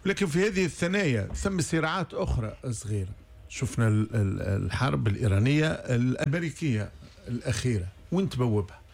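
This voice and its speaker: A man co-hosting a talk show, putting formal questions to the guest. His mid-range voice moves along quickly. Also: mid-range voice, big pitch swings, creaky, darkish tone, slightly rough. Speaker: co-host.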